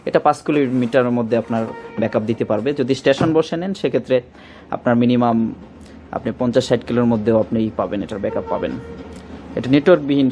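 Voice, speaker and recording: A man's voice: mid-range voice, mellow. A man with a Bangladeshi accent giving an informal product demonstration as a shop presenter, repeating himself and searching for words. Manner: repetitive, searching for words with filler placeholders. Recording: faint background noise.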